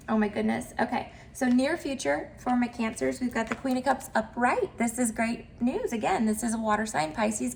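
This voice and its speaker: Chatty woman with a stuffy, gravelly voice. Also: a little high, slight background noise. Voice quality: cracky, nasal. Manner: chatty, upbeat, many fillers. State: mild cold, nasal and stuffed up. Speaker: tarot reader. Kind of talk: casual tarot reading to camera.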